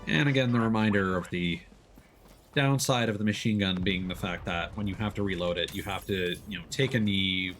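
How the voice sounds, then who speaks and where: A man's voice: deep voice, normal speed, fairly bright tone, soft background music. A man giving casual live game commentary, thinking aloud and explaining things as he plays. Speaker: streamer, gamer.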